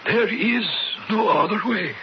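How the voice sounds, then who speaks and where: High-voiced, grave village elder. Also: male voice. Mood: grave, insistent. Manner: deliberate, ceremonious. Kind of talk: radio drama acting. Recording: dull radio broadcast, faint noise.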